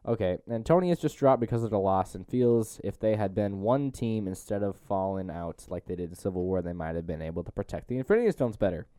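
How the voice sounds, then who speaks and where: Young guy w a low mellow voice joking around on a movie podcast, slangy. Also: animated, fast talker, few pauses, no room sound, clean studio sound. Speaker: podcast host. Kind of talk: casual movie review chat.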